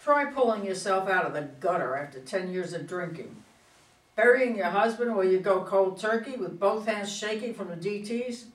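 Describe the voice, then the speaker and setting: A woman's voice: medium pitch, expressive, normal speed, lightly acted. A woman playing a proud, combative older female politician in a play reading, with an indignant, emphatic delivery. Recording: echoey room, far from mic.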